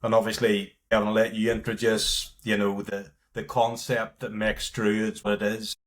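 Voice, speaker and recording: A man's voice: on the low side, flat delivery, moderate pace. Casual Northern Irish man. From north coast of Northern Ireland. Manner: informal, frank, peppered with "you know" and "like". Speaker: company partner and marketing lead, podcast guest. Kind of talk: casual interview talk.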